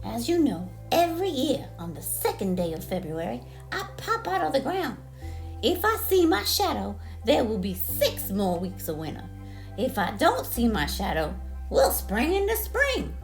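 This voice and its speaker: Woman doing a proud old grandpa groundhog, raised pitch. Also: sing-song, soft background music. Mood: ceremonious. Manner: announcing, deliberate.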